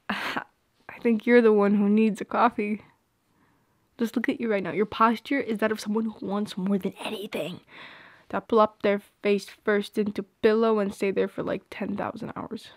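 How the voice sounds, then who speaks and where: A woman's voice: medium pitch, fairly even intonation, unhurried, long pauses, no echo, clean studio sound. A young woman playing a shy, teasing friend with a secret crush in an intimate ASMR roleplay, playful and a little hesitant. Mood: concerned, teasing.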